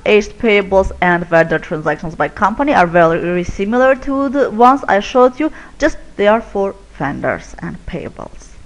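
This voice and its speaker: Woman, webinar software demo, step-by-step explaining, animated intonation. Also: mid-range voice, low-quality recording. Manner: steady step-by-step explaining while clicking through screens. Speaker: software product presenter.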